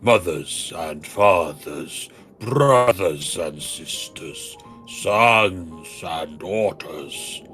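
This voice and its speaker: Man voicing a very deep, solemn noble dragon. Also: lively intonation, fairly bright tone, soft background music, exaggerated voice. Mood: grieving, grave. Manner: solemn, formal, measured storytelling delivery. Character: grave, noble, grieving great male dragon. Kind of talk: fantasy game dialogue read aloud.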